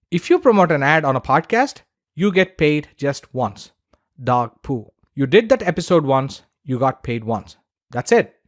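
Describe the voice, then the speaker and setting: A man's voice: fairly high pitch, dry recording. Man narrating a business lesson, dry sound. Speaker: podcaster and course teacher. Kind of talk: scripted instructional narration.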